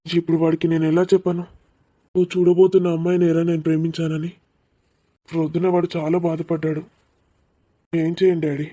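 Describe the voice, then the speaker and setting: A man's voice: fairly high pitch, level tone, brisk, long pauses, warm tone, lightly acted. A man playing a troubled, lovesick young son in a cartoon family drama. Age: young adult. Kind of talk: animated cartoon dialogue.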